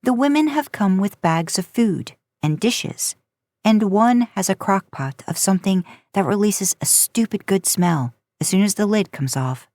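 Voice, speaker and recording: A woman's voice: mid-range voice, expressive, unhurried, a little mellow, dry recording. A woman reading a story aloud in audiobook-style narration. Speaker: podcast host and fan fiction narrator.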